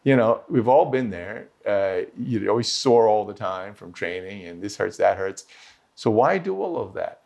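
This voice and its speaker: A man teaching swordsmanship, answering questions in a rambling, self-interrupting and lively way. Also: lowish voice, moderate pace, vocal fry. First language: English. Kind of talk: conversational Q&A answers.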